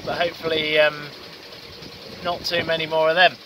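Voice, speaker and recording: A man's voice: a little high, level tone, normal speed. A man in his thirties on the deck of a small sailboat, talking to his camera in a matter-of-fact way with some ums, and tired. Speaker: solo sailor vlogger. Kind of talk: casual video diary. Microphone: camera mic at arm's length. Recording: windy outdoor camera audio at sea. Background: wind and water noise.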